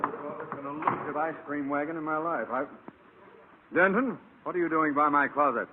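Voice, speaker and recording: A man's voice: a little high, normal speed, a little hiss or hum, natural voice. A man playing a blustery, pompous middle-aged school principal, full of scorn, in a vintage radio sitcom. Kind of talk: 1940s-50s radio sitcom acting. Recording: tinny, reverberant vintage radio recording.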